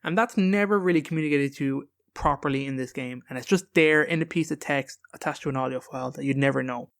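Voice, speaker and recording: A man's voice: medium pitch, fast talker, gravelly, fairly bright tone. A man hosting a podcast, talking casually and informally with emphatic opinions. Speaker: podcast host.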